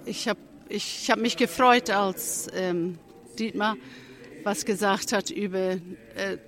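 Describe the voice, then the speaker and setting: A speaker with a cold giving an earnest testimony at a church service, pausing often and restarting phrases before rising to fervent emphasis. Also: medium pitch, fairly even intonation, a bit bright, a little hiss or hum. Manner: halting, with frequent restarts and pauses. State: has a cold.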